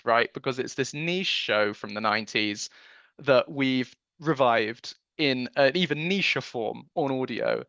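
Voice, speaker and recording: A man's voice: medium pitch, a bit bright, dry recording, dull audio. A man giving a conversational radio interview as a guest producer, speaking in an earnest, enthusiastic way.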